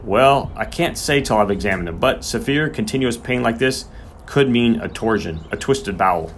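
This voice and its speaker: A man's voice: mid-range voice, expressive, moderate pace, close to an everyday voice. A male narrator speaks as an earnest country vet. He is courteous and firm, with some unease under it. The storybook recording carries a little background noise. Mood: uneasy, serious.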